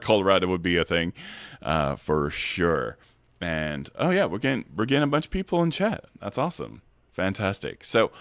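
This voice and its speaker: A man hosting a casual recap livestream, hoarse from a head cold, his voice gravelly and bassy, chatty with many fillers and restarts. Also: a bit bright, no room sound. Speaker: host of a recap stream.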